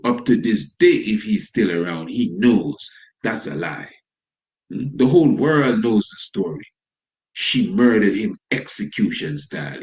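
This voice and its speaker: A man speaks as an informal commentator at an average pitch. He sounds preachy and incredulous, putting rhetorical questions to his listeners as he goes. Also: poor audio. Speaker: commentator reacting to news clips.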